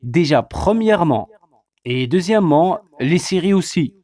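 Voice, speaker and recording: A man's voice: on the high side, animated, measured pace, a little mellow. A man co-hosting a casual chat, talking hesitantly with many fillers as he lists his points. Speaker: co-host.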